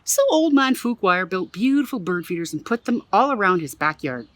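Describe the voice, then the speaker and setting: Lively woman reading a children's story aloud. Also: medium pitch, brisk, dry recording. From Canada. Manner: expressive, playful, adds exclaimed asides. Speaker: museum storyteller. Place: own backyard.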